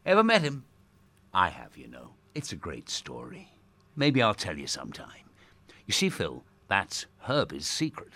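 A man playing a grandiose, theatrical radio host in a dark audio drama. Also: low-pitched, huge pitch swings, unhurried, no room sound, exaggerated voice. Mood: pompous musing. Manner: pompous, cryptic, showmanlike. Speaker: radio presenter character.

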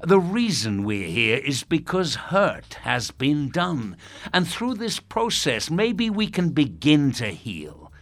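An Irish actor playing a self-important, manipulative radio host and politician, telling stories in a pompous, theatrical way. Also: male voice, a little high, big pitch swings, takes it slowly, a bit bright, heavily acted. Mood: grandiose. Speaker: actor in an audio drama. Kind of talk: audio drama acting as a radio host.

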